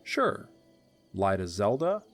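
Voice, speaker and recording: A man narrating an audio drama in a calm, measured storytelling manner. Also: lowish voice. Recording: soft music behind.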